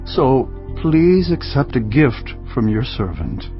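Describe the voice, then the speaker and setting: Emphatic, swooping army commander. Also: male voice, mid-range voice, very animated, takes it slowly. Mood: humbly earnest. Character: proud, hot-tempered army commander turned humbly grateful. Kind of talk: dramatized scripture audiobook acting. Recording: dull lo-fi sound, faint music bed.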